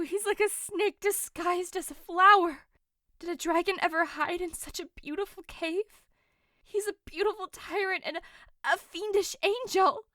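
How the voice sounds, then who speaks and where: A woman's voice: very high-pitched, bright, forceful tone, no echo, clean studio sound, exaggerated voice. A woman playing a passionate, desperate young newlywed noblewoman in an audio drama, declaiming theatrically. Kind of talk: audio drama acting of modernized Shakespeare.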